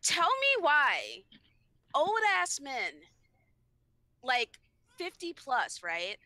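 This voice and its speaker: Young woman, high-pitched, bright edge, dry close sound, emphatic, swears. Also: huge pitch swings, extremely slow, dry recording. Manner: emphatic, swears, tells stories with quoted dialogue. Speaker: podcast guest. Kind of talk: casual podcast chat.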